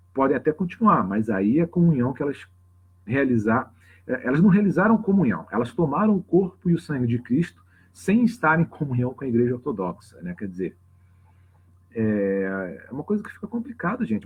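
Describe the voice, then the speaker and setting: A man with a husky voice and a Brazilian Portuguese accent, explaining things informally and off the cuff to a livestream audience, often checking in with tag questions. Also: mid-range voice. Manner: conversational, frequent tag questions. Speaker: religious teacher hosting a live open class. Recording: slightly dull lo-fi livestream audio.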